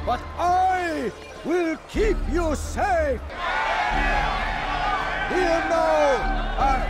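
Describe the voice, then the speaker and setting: A man's voice: very high-pitched, full character voice. A man playing a cruel, power-drunk zealot executioner in a video game, speaking in declamatory, theatrical oratory. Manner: declamatory, preaching, drawn-out emphatic phrasing.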